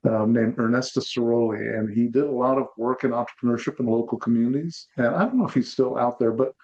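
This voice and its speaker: A man's voice: mid-range voice, steady tone, fast talker, a little mellow. A man from Zambia, a partner speaking graciously and collaboratively on a video call. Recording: dull lo-fi video call audio.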